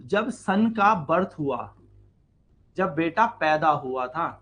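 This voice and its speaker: An Indian man with a fairly high voice teaches a maths lesson for exam students. He works through each step, poses questions to the class and answers them himself, repeating key lines and drawing words out for stress. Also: lively intonation, slow pace, a bit bright, slightly rough, highs cut off.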